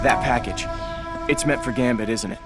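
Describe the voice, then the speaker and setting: A man's voice: medium pitch, normal speed, close to an everyday voice. An actor playing a determined, guarded young male mutant in a video game, with terse, self-assured delivery. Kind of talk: video game cutscene voice acting. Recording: loud music behind game dialogue.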